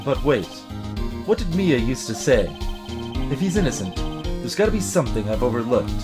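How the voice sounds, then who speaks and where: Man as a young male defense lawyer, anxious, unsure, wide pitch swings, game dialogue, music underneath. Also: very animated, normal speed, lightly acted. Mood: downcast. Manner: stammering, unsure, dryly resigned. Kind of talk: voiced video game dialogue.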